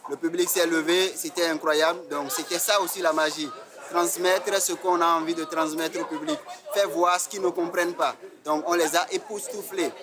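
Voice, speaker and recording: A man's voice: on the high side, fairly even intonation, moderate pace, crowd noise. A man who competes as a magician, speaking self-assuredly in an interview after performing. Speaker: magician contestant. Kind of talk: reflective interview to camera. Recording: audience noise behind voice.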